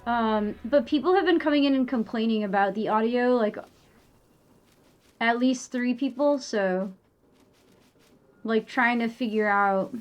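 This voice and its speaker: A woman's voice: on the high side, a little slow, long pauses, highs cut off. A young adult woman streaming live, chatting casually and rambling with lots of filler words and the odd swear.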